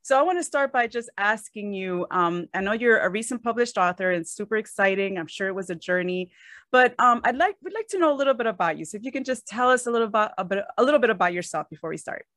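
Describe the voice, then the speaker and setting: A woman's voice: mid-range voice, animated, quick pace, fairly bright tone, no echo. A woman hosting a podcast, speaking with enthusiasm and a faith-centered focus, recorded on a close mic. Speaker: podcast host. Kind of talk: warm podcast interview.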